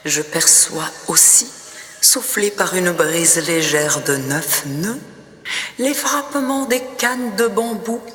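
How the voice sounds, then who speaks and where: Woman, slow poetry recitation, bright tone, breathy hushed phrase endings, long reverb. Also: lowish voice, animated. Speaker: poet reading own poem. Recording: long reverb, noticeable background ambience.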